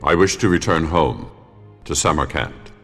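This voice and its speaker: Casting: a proud, reserved fantasy-game mage, extremely deep voice with expressive swings, long reverb and music. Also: male voice, normal speed, heavily acted. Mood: grave. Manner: grave, curt. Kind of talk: dramatic fantasy game acting.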